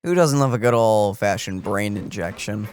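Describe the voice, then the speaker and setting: A man's voice: on the low side, expressive, moderate pace, darkish tone, slight background noise. A man co-hosting a let's play with casual, wry commentary. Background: faint game music.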